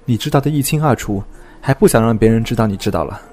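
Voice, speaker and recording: A man's voice: medium pitch, quick pace, darkish tone, soft background music, natural voice. An actor playing a frank, protective young man, a loyal friend, in an audio drama. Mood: earnest, defensive.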